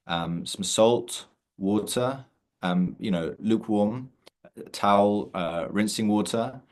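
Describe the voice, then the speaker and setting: A man hosting an online meditation session over a video call, talking in a relaxed way with many fillers and restarts. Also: on the low side. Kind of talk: casual online session introduction.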